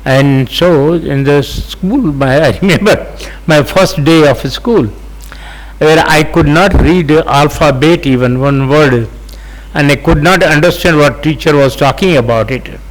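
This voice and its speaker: Halting Indian man in his eighties. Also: fairly high pitch, poor audio. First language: Sindhi. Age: 80s. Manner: halting, many fillers, gently chuckling asides. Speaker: interview guest. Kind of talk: unhurried life-story telling.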